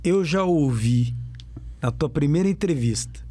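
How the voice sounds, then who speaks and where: A man's voice: a little high, lively intonation, unhurried, dark tone, no room sound. A man interviewing a young footballer, asking emphatic questions and repeating them for effect. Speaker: sports radio interviewer.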